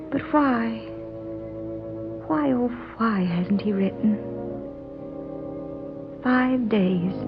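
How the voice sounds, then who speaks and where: A devoted young woman in love, wistful and mid-pitched, in the manner of old radio drama. The sound is dull and lo-fi, with faint music. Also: gravelly. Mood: yearning. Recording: dull lo-fi vintage radio recording.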